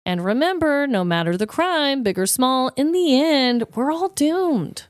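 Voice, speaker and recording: Animated, high-pitched American woman. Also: a little slow, dry recording, clean studio sound. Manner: animated, informal storytelling with emphatic exaggeration. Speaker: podcast co-host. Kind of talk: casual comedic true-crime chat.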